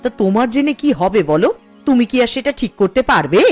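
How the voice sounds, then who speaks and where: A woman's voice: a little high, sing-song, brisk, darkish tone, soft background music. A woman voicing a peevish, competitive village housewife in a cartoon, with a snappish, complaining way of talking. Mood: sulky, demanding. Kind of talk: Bengali cartoon voice acting.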